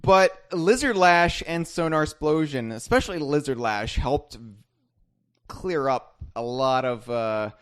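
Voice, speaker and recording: A man's voice: fairly high pitch, measured pace, fairly bright tone, clear, resonant tone. A man hosting a podcast, chatting casually in a rambling, self-deprecating, joking way. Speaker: podcast host.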